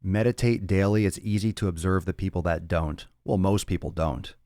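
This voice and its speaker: Deep-voiced blunt fitness podcast host. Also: male voice, steady tone, moderate pace, mellow, no echo, clean studio sound. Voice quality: raspy on some days. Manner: blunt and profane, rambling with restarts. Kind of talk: casual solo talk answering listener chat.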